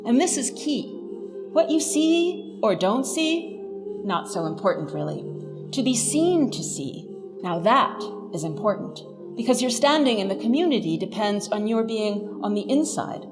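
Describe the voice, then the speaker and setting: A woman's voice: fairly high pitch, big pitch swings, unhurried. A woman giving a scripted academic lecture as a professor, with a wry, emphatic manner. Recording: reverberant hall, music underneath.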